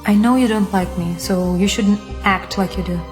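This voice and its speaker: A woman's voice: medium pitch, normal speed, natural voice. A woman playing a guarded, defiant young mother who speaks curtly and firmly in an audio drama. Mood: cold defiance. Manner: curt, firm. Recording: long reverb with music behind.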